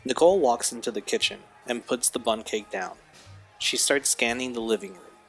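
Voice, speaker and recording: A man's voice: medium pitch, expressive, normal speed, vocal fry, fairly bright tone, husky, faint music behind. A man narrating scripted stage directions for an audio drama.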